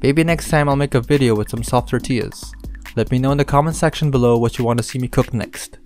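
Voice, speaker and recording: A man from the Philippines narrating his cooking channel in voice-over, giving relaxed step-by-step instructions with dry humor. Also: medium pitch, fast, over music.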